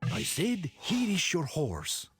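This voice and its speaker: A loyal, eager medieval soldier speaks in a husky, fairly high voice. His pitch leaps about wildly, as in theatrical game dialogue. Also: wildly swooping pitch, slow pace, fairly bright tone, no echo, soft background music, exaggerated voice. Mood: sympathetic, loyal. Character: loyal, eager medieval male soldier serving an exiled knight.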